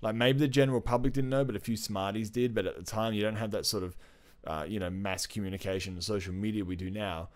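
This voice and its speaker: A man with a fairly low voice hosts a casual podcast. He talks like he is telling friends a story, animated and full of slang and swearing. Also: fast talker. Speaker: podcast host. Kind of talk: casual chat about comics.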